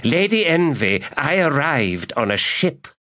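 A male narrator voicing a scheming, lecherous mage who mutters his plots aloud, high and excitable, in an audiobook. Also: measured pace. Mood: gleeful. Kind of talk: audiobook character voice.

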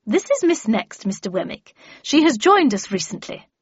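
A woman voicing a brisk, bossy, cheerful older lady mentor in an audiobook. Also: on the high side, big pitch swings, slightly rough. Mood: brisk, gracious. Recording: muffled audio, roomy echo.

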